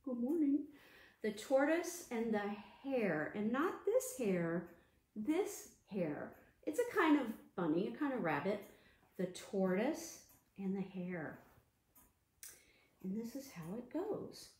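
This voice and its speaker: A woman hosting an interactive storytime as a children's librarian, talking in an animated, sing-song way to small children. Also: a little high, takes it slowly, echoey room.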